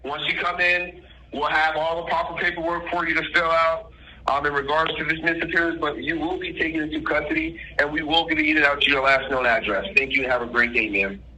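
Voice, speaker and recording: A man's voice: fairly high pitch, animated, a little fast, pushed and bright. A man on a phone call speaking formally and insistently as a caller posing as an official, in legal language and addressing the listener as ma'am. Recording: telephone line with faint noise.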